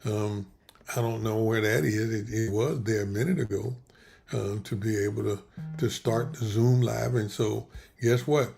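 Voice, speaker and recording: Gravelly-voiced pastor rambling on a livestream. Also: male voice, on the low side, steady tone. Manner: rambling, halting, many restarts. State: losing his voice. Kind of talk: casual livestream talk with prayer.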